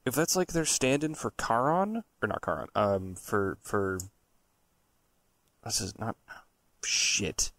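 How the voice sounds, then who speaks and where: Low, gravelly man, blunt and profane. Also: very animated, slow, long pauses, a bit bright, no room sound. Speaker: podcast co-host. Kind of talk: casual banter and storytelling.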